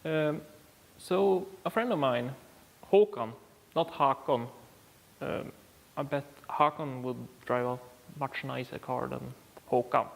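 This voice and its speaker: A man from Sweden with a Swedish accent, chatting haltingly with many ums and dry jokes while repairing a car part. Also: mid-range voice, creaky, big-room sound. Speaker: DIY car repair vlogger. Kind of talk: casual workshop commentary.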